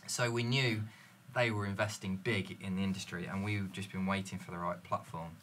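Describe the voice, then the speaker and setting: A man's voice: sits low, steady tone, normal speed, a bit bright, a little hiss or hum. A man in a panel discussion, explaining fluently and telling stories from his business, recorded in a dim studio lounge. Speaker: company representative.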